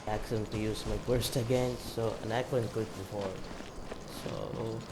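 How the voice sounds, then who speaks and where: A man's voice: on the low side, fairly even intonation, normal speed, noisy background. A man giving casual gameplay commentary in an offhand way, as if talking to himself. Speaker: gameplay streamer. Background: game music.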